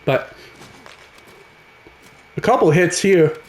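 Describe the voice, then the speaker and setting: Man, raspy from a cold, excitable play-by-play, echoey room. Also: high voice, takes it slowly. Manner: excitable, calls the action as it happens. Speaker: gaming channel host. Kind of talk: casual play-by-play commentary over a hockey video game.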